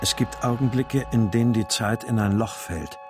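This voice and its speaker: A man's voice: mid-range voice, moderate pace, music in the background, lightly acted. An actor narrating a radio crime drama in the first person as a grave father recounting his own ordeal. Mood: tense, grave.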